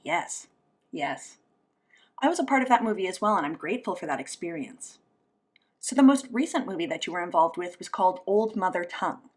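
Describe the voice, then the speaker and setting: A woman speaks as an interpreter, putting a signed interview into speech. Her voice sits at a middle pitch for a woman. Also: breathy edge. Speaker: sign language interpreter voicing an interview.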